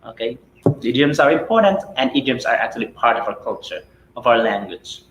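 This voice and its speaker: A man's voice: medium pitch, big pitch swings, fairly quick, a bit bright, reverberant, slight background noise, rough recording. A man from the Philippines with a Filipino accent, whose first language is Cebuano, teaching a live English lesson in a chatty way, with lots of "right?" and "okay?". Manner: chatty, rhetorical questions with tag "right?" and "okay?".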